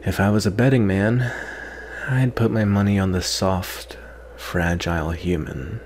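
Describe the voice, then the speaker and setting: A man acting out a proud, sardonic captive vampire in a soft-spoken, disdainful ASMR roleplay. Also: deep voice, fairly even intonation, measured pace, a little hiss or hum. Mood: dry, wry. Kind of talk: ASMR roleplay, acted monologue.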